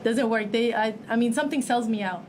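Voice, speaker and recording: A woman's voice: a little high, fairly quick, a little hiss or hum. A young woman from Egypt, a guest chatting casually in an interview, rambling with lots of fillers and small laughs.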